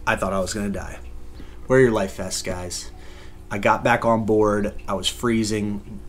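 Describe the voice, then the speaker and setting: A man's voice: on the low side, fairly even intonation, unhurried. An American man in his forties recounting a story to camera in an earnest, emphatic way. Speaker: vlogger, father. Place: bedroom, indoors. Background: music bed.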